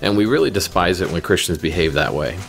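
A man's voice: low-pitched, expressive, fast talker, creaky, soft background music. A man hosting a commentary video, speaking in a careful, methodical way and reading scripture aloud.

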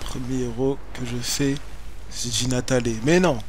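A man's voice: mid-range voice, steady tone, normal speed, slight background noise. A young man streaming a game from home, chatting with viewers in slangy, joking banter. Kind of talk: casual gaming commentary. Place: home room, webcam.

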